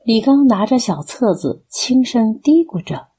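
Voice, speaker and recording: A woman's voice: on the high side, very animated, a little slow, warm tone, breathy edge. A woman narrating a scripted radio drama as storyteller.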